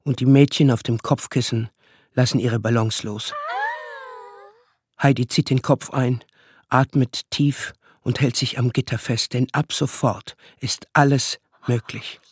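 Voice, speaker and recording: A man narrating a radio play as storyteller of a child's magical world, with measured, dramatic phrasing. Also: mid-range voice, steady tone, slightly rough, dry recording, studio quality. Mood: storytelling.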